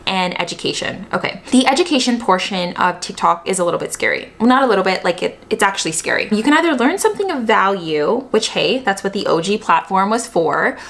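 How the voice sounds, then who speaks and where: A woman's voice: medium pitch, animated, a little fast, vocal fry, few pauses, a bit bright. A young adult woman from Canada, a YouTube vlogger, giving scripted explainer commentary in a chatty, opinionated way with emphatic asides.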